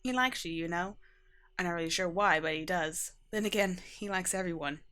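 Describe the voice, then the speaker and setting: Vampire hostess, played by a woman: lowish voice, soft-spoken, reassuring, a little awkward, ASMR roleplay. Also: brisk, clear, resonant tone, lightly acted. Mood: caring, awkward. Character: gruffly caring female vampire hostess, awkwardly smitten.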